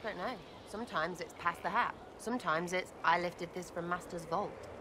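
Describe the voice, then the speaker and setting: A woman's voice: lowish voice, moderate pace, noisy background, lightly acted. Woman acting cheeky, clipped young elf rogue. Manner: casual, clipped, irreverent. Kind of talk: game cutscene acting.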